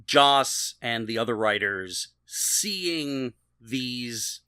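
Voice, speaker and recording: A man in his fifties with a bright, forward voice at an ordinary male pitch, recorded dry. He talks casually as a co-host on a TV discussion podcast, explaining details with a trivia lover's care. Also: lively intonation, extremely slow, clear, resonant tone, no room sound. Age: 50s. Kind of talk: casual panel discussion of a TV show.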